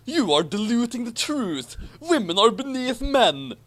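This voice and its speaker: A man's voice: very high voice, big pitch swings, a little slow, a bit bright, voice pushed up, full character voice. A man voicing a snooty, spiteful schoolboy rival with haughty bluster in a story reading. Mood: accusing. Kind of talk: mocking dramatic reading of fan fiction.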